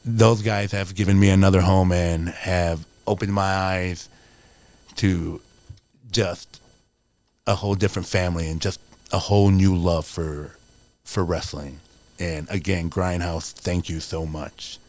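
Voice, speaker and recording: A man's voice: deep voice, steady tone, measured pace, darkish tone, no echo. A man in his forties, a wrestler guest on a podcast, talking in an earnest, motivational way with lots of "you know". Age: 40s. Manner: earnest, motivational, rambling with many fillers. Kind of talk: casual podcast interview.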